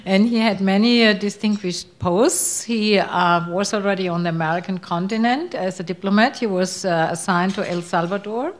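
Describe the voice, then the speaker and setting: Woman, panel co-moderator, introducing guest, halting, fillers, middle pitch. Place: university lecture hall.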